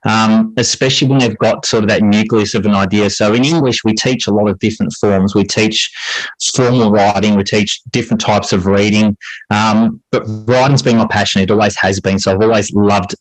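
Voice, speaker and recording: Middle aged guy chatting in an interview, lowish voice, thoughtful explainer, really dry close sound. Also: steady tone, moderate pace, no room sound, low-quality recording. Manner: reflective, explanatory, repeats phrases for emphasis. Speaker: interview guest, high school English teacher. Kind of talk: conversational interview answers.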